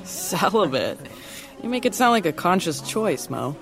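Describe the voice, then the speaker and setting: An actress playing a teasing, supportive best friend in an audio drama. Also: female voice, fairly low pitch, big pitch swings, measured pace, soft background music.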